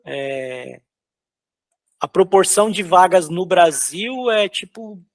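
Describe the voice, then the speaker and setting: Gravelly-voiced Brazilian man, casual and halting. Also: high-pitched, animated, no room sound, clean studio sound. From São Paulo, Brazil. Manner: loose, hesitant, lots of filler words and restarts. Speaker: concept artist guest. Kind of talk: casual interview while drawing.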